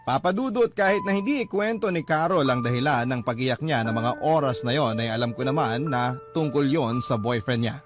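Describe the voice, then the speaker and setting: A man's voice: mid-range voice, fairly quick, few pauses, over music, lightly acted. A man playing a lovestruck young office worker, narrating his story earnestly in a sentimental radio-drama style. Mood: earnest, sympathetic.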